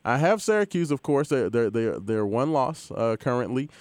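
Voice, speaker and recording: A man hosting a casual sports betting chat, easygoing and quick to laugh, hand-offs loose with fillers. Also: medium pitch, big pitch swings, darkish tone, no room sound. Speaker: host.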